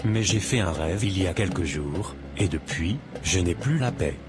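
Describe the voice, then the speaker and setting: A man's voice: sits low, expressive, moderate pace, loud music behind the voice. A man playing an earnest, troubled young man. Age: young adult.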